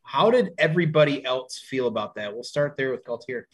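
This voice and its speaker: A man hosting a casual stream, relaxed as he moderates questions for the group. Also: medium pitch, brisk, slightly rough. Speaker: stream host asking questions.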